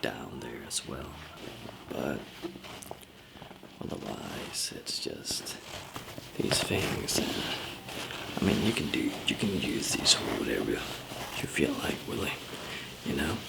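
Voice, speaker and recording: A man with an extremely low, heavily creaky voice performs an ASMR roleplay as a tired survivor. He murmurs breathily at an unhurried, slow pace, rambling and hesitating in an understated way. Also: sing-song, very noisy. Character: weary, easygoing male zombie apocalypse survivor. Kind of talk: ASMR roleplay, improvised soft murmur and whisper.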